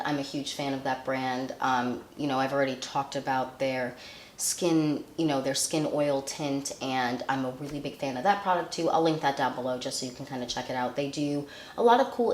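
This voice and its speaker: A woman's voice: deep voice, roomy sound, a little hiss or hum. A woman with a nasal voice, chatting casually and rambling as she reviews a beauty product for her channel.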